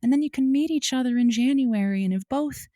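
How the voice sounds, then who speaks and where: A woman playing a folksy, diplomatic radio advice host who gently counsels callers on a comedy show. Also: high voice, fairly quick, no room sound, close to an everyday voice. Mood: reassuring, diplomatic.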